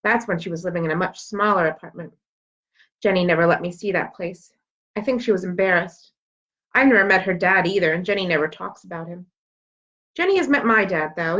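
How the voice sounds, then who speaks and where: A woman reading a children's book aloud as an expressive storyteller. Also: on the low side. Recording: dull, lo-fi sound.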